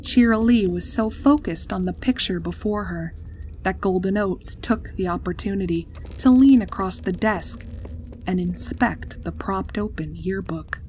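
A woman's voice: medium pitch, measured pace, a little mellow, a little hiss or hum, low-quality recording. A woman reading a scripted story as its narrator. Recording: music behind the voice. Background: background music.

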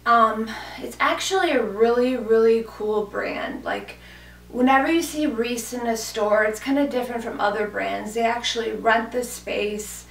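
A hoarse woman in her thirties gushes about her new clothes in a loose, chatty way full of fillers. Her slightly high voice has a gravelly fry. She sounds a step back from the mic, in a small room that echoes. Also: expressive, unhurried, recorded from a distance. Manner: chatty, gushing, many fillers like "kinda" and "like". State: hoarse from a cold. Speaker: fashion vlogger. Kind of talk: casual clothing haul chat. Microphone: camera mic from a few feet away.

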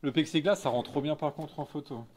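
A man in his thirties speaks with an eastern French accent in a slightly higher-pitched voice. He talks informally and candidly, full of everyday slang, like a streamer chatting with viewers from a small office. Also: a little fast, darkish tone, slight background noise. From Lorraine, France. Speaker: live streamer and photographer. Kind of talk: casual chat, reading and answering live chat. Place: small indoor photo studio office.

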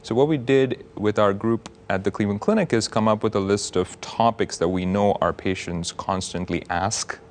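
A man in his thirties, a cardiologist guest on a TV news studio set, explaining things in a measured, clear way on a lapel mic. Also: on the low side. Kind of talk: TV studio interview.

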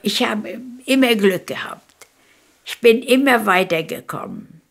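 A woman of about 100 from Königsberg in former East Prussia, reminiscing in an unhurried, halting way in a documentary interview. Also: medium pitch, wildly swooping pitch, vocal fry. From Königsberg, East Prussia, Germany. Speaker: documentary interviewee, eyewitness recalling her life. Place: furnished living room, indoors.

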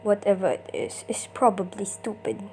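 A woman voicing a stern, cynical Soviet military man in an amateur comic dub, speaking deliberately and with scorn. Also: mid-range voice, very animated, background noise, lightly acted. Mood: deadpan.

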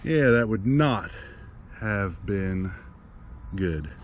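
A man's voice: lowish voice, lively intonation, extremely slow, soft background music. A middle-aged man in a casual, rambling how-to chat to camera, recorded outdoors in a backyard on an action camera. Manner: easygoing, rambling, trailing off. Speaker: firewood vlogger.

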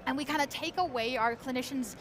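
A young woman with an Icelandic accent explains her work eagerly and clearly. Her voice sits high and light. She is recorded on a lapel mic at a busy convention hall booth. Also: fast talker, crowd noise. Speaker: guest, research engineer. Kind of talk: conversational interview.

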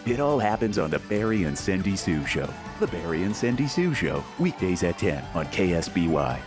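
An actor playing a punchy daytime TV promo announcer in an upbeat voice-over style. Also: male voice, deep voice, sing-song, moderate pace, music in the background.

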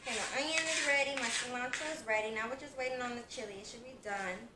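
A woman's voice: on the high side, quick pace. A young woman chatting casually to viewers as she cooks in her home kitchen, filmed by a camera across the room. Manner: chatty, talks to viewers while cooking. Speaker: cooking vlogger. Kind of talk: casual cooking vlog. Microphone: fixed camera across the kitchen. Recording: echoey kitchen, far from mic, noisy.

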